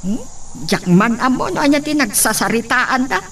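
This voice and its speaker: A woman's voice: on the high side, animated, moderate pace. A woman with an Ilocano accent playing a deferential older household servant in a radio drama. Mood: gossipy. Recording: slightly distant, noisy, crickets behind.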